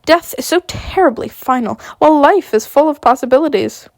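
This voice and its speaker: A wry, sharp-tongued dwarf noble, read by a sick woman, delivering barbs dryly. His voice has a gravelly texture and sits a little high. The recording is close and dry. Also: lively intonation, fairly quick, dry recording.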